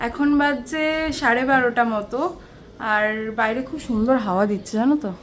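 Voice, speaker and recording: High-voiced West Bengali woman vlogging. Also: fairly quick. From West Bengal, India. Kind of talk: casual chatty home vlog, storytelling to viewers. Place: small one-room home. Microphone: handheld camera at arm's length. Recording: faint background noise.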